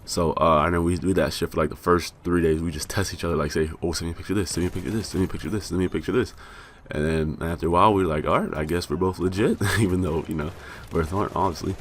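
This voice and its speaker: A young American man telling a rambling, profane story about himself with self-mocking laughs, his voice a bit raspy from being high. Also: fairly even intonation, rapid-fire, slight background noise. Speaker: vlogger. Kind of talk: casual storytelling.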